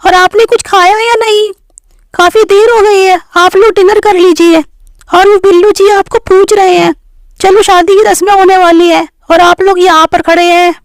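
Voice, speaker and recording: A woman's voice: very high voice, fairly even intonation, moderate pace, no echo. A woman voicing a polite, sociable female relative in a cartoon family story, with a courteous, formal manner. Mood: attentive.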